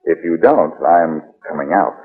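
Wisecracking 1940s radio detective, husky, lively. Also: male voice, deep voice, measured pace, faint music behind, heavily acted. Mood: smooth teasing. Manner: glib, teasing banter. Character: wisecracking, flirtatious, cool-headed male private detective. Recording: muffled, lo-fi 1940s radio recording.

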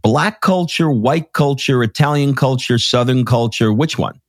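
A man's voice: mid-range voice, a little slow, no room sound, clean studio sound. A man from Queens, New York, hosting a podcast interview in an enthusiastic, chatty way. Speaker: podcast host. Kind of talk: casual interview chat.

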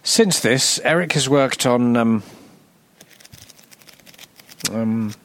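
A man reading a scripted, humorous narration as a podcast presenter, with a wry, deadpan, sardonic delivery. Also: medium pitch, a little slow, no room sound.

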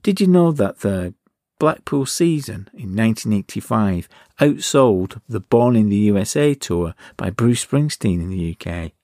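A middle-aged man reading a wry, relaxed podcast outro as host. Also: fairly low pitch, unhurried, dry recording, studio quality.

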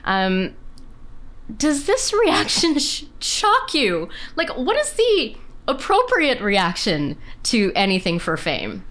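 Lively woman podcast host in her forties. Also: on the high side, slow pace. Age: 40s. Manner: chatty, laughing, expressive. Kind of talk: casual podcast interview.

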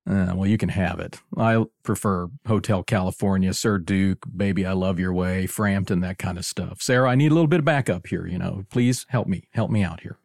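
A man's voice: deep voice, a little fast, creaky, hardly any pauses, a little mellow, no room sound, studio quality. A man hosting a podcast with playful, casual banter and a teasing, theatrical manner. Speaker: podcast host.

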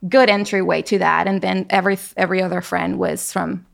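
Gravelly-voiced woman telling stories. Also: on the low side, a little fast. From Venezuela. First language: Spanish. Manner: animated storyteller, laughs a lot. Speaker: guest. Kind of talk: casual conversation.

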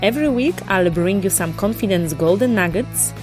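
A woman's voice: mid-range voice, lively intonation, moderate pace, over music. A woman hosting a motivational podcast, talking in an enthusiastic, encouraging way. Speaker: podcast host.

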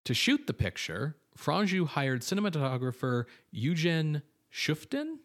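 A man's voice: on the high side, big pitch swings, a little slow. A man co-hosting a film podcast, reading background notes on cast and crew in an informative way, with casual fillers and asides. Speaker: podcast co-host.

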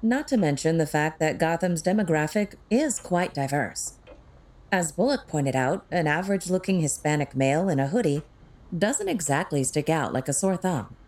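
A woman's voice: low voice, lively intonation, darkish tone. A woman playing a witty, sarcastic crime-fighting tech expert in an audio drama, with a wry manner. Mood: wry, teasing. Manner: wry, sarcastic, quick-witted.

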